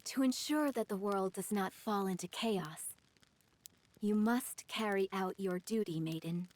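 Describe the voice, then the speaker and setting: A woman's voice: medium pitch, a little slow, a little mellow, lightly acted. A woman playing a loyal, self-dramatizing young warrior who speaks formally and solemnly in a game dub. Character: grandiose, loyal young woman warrior with dark-fantasy delusions. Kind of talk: English game dub voice acting.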